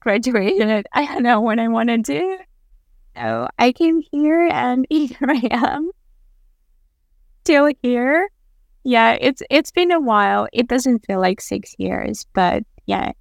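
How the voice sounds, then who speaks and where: A woman's voice: fairly high pitch, animated, takes it slowly, gravelly, dry recording, clean studio sound. A young woman from Central Asia, speaking English as a third language, chatting casually as an interview guest. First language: not English, which is a third language. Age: young adult. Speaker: podcast guest.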